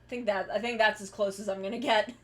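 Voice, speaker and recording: A woman's voice: mid-range voice, fairly even intonation, fast. A woman streamer chatting casually while she draws, rambling and correcting herself mid-sentence, with the odd swear. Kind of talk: casual chat while drawing.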